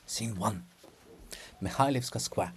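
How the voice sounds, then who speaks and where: A man narrating a play as a dramatic reading, deliberate and sometimes hushed, with occasional stumbles over words. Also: lowish voice, slight background noise. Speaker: narrator and reader. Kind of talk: dramatic reading of stage directions.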